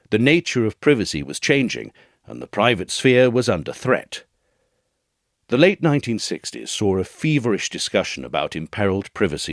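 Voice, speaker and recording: A man with a low voice narrates a serious scripted essay. His delivery is measured and formal, yet the melody moves widely with dramatic phrasing. The sound is very dry, with no room around it. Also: no echo.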